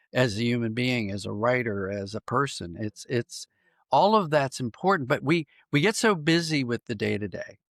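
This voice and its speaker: A man with a noticeably creaky voice at a medium pitch talks as a podcast guest. He reflects aloud in a conversational way, reworking his phrases as he goes. The sound is close and dry, with very little room in it. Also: fairly bright tone, dry recording. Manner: reflective, thinking aloud with self-corrections. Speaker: podcast guest, writer and dramatist. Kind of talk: conversational interview answers.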